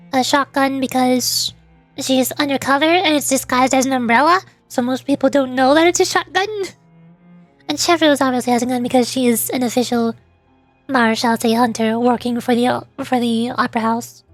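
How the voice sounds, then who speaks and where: A woman giving casual, playful game commentary, often thinking aloud hesitantly as she goes. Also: high-pitched. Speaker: streamer voice-acting along with a video game. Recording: music behind the voice. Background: game music.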